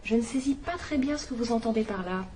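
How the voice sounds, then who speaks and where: A fussy, condescending female companion, played by an actress who talks down in a gossipy, motherly manner. Her voice sits a little high, with a faint hiss behind. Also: fast, a little mellow, natural voice. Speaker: companion and housekeeper. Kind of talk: dubbed drama acting.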